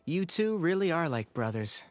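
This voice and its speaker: A man voicing a gentle, good-humored young scholar in a game, with a soft, amused manner. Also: on the high side. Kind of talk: game voice acting.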